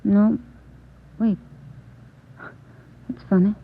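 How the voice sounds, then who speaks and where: Office nurse, American woman, medium pitch, courteous and a little unsure, thin vintage sound. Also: fairly even intonation, drawn-out words, husky, heavily acted. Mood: puzzled, helpful. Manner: courteous, hesitant. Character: polite, slightly hesitant female doctor's office nurse. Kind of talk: 1940s-50s radio drama acting. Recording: tinny old radio recording.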